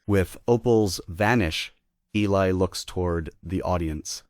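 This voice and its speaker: A man's voice: low voice, slow pace, darkish tone, no room sound, clean studio sound. A man giving scripted narration, reading the stage directions at a table read.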